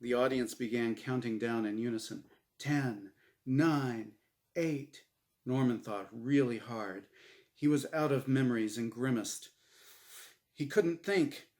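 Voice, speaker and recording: A man reading his own story aloud as a dramatic, humorous narration, deliberate and expressive. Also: mid-range voice.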